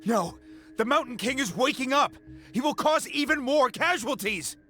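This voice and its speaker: A man's voice: very high voice, expressive, normal speed, sharp tone, faint music behind, full character voice. An actor playing an earnest, anxious male villager in a video game, pleading and protective. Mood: panicked. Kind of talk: dramatic video game voice acting.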